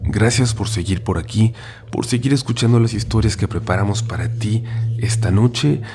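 Hoarse, whispering male storyteller. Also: lowish voice, normal speed, music in the background. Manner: hushed, half-whispered storytelling. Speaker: podcast host. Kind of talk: horror story narration.

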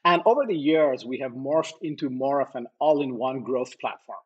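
Husky male founder from Iran, musing through answers with many "uh"s and restarts. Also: mid-range voice, normal speed, dull audio. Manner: thoughtful, many fillers and restarts. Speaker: company co-founder and CEO, podcast guest. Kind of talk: conversational interview answers.

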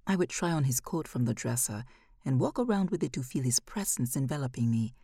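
A woman reading literary fiction as an audiobook narrator, measured, with phrases often falling to a hush. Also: rumbling low, expressive, breathy edge, dry recording, studio quality.

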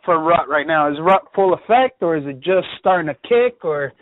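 A man's voice: a little high, expressive, moderate pace, no echo. A man calling into a hunting radio show, chatting casually and laughing often. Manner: laid-back, laughs often, says "man" a lot. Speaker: caller. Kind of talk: casual call-in chat. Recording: tinny phone line, dry.

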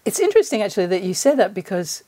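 A woman's voice: medium pitch, big pitch swings, rapid-fire, warm tone. A middle-aged woman hosting a podcast, putting questions and comments in a conversational way. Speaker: podcast host, interviewer. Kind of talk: conversational interview.

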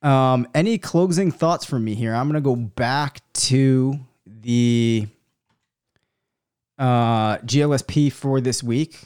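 A man with a cold, a little hoarse, hosting a sports podcast alone and thinking out loud through listener questions, with many ums and hedges. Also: medium pitch, takes it slowly. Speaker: fantasy football podcast host. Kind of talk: live solo Q&A, answering listener questions.

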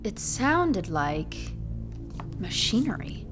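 An actress playing a frightened, sharp-tongued young woman trapped in an apartment in an audio horror drama. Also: mid-range voice, big pitch swings, takes it slowly, faint music behind. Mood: hushed, uneasy. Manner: sarcastic edge under fear.

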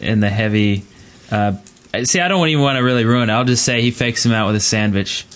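A man's voice: lowish voice, a little fast, fairly bright tone. Man hosting a casual podcast. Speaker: podcast host.

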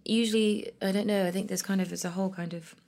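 A woman's voice: medium pitch, steady tone, fast talker, gravelly, darkish tone. A woman, an actress, answering interview questions thoughtfully with many restarts. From Ethiopia and Ireland. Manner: thoughtful, hesitant, with frequent restarts. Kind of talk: conversational interview.

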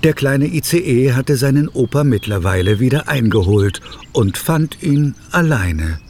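A man's voice: medium pitch, expressive, measured pace, darkish tone, soft background music. A man narrating a scripted children's audio drama as a storyteller.

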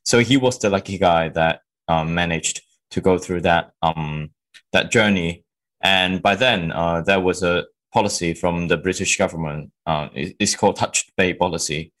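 Very low-voiced man, hesitant. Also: normal speed, fairly bright tone. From Hong Kong. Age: 20s. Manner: hesitant, many ums and restarts. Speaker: guest. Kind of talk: interview answers.

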